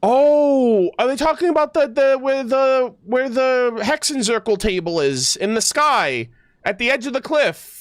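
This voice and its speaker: A man's voice: extremely high pitch, animated, measured pace, no echo, clean studio sound. A man giving casual, slangy live reaction commentary as a game streamer, talking to his chat. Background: game music.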